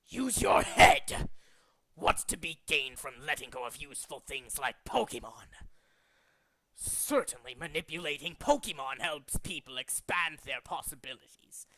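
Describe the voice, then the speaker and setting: A man voicing a megalomaniac villainous cult leader from a video game, scheming, pompous and contemptuous, moving between hushed menace and ranting. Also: fairly high pitch, rough recording. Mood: cold scorn. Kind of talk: theatrical video game villain monologue, demo reel.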